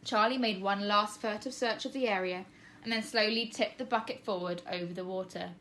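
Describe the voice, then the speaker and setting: A woman narrating a children's storybook as a teacher reading aloud, with expressive, emphatic storytelling. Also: medium pitch, a little fast.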